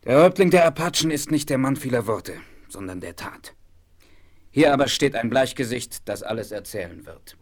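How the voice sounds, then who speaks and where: An actor playing a proud, solemn and wise chief in a German audio drama, speaking in formal, measured phrases. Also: male voice, mid-range voice, lively intonation, natural voice. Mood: grave, proud. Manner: formal, measured, speaks of himself in third person.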